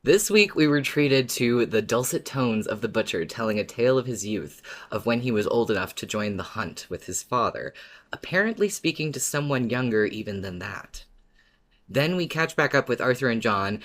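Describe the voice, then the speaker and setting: A man's voice: lowish voice, a bit bright. A man co-hosting a fan podcast, chatting and recapping with playful, wry enthusiasm. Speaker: podcast co-host.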